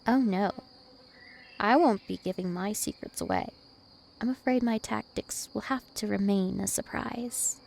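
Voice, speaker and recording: A woman's voice: medium pitch, sing-song, measured pace, vocal fry, a little mellow, slight background noise. A woman voicing a dominant, taunting, ancient vampire princess in an ASMR roleplay, with haughty, teasing menace. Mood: sly menace. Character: two-thousand-year-old vampire princess, female, dominant, taunting, imperious.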